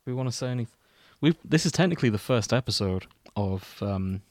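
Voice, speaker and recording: A man's voice: mid-range voice, lively intonation, a little fast, no room sound. Joking man chatting, close dry sound. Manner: joking, sweary. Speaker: podcast host. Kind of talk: casual comedic chat.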